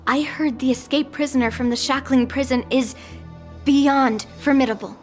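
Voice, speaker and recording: A woman's voice: high voice, fairly even intonation, normal speed, soft background music, lightly acted. A woman voicing an earnest, outspoken young granddaughter of a general in a video game. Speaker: voice actor. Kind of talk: scripted video game acting.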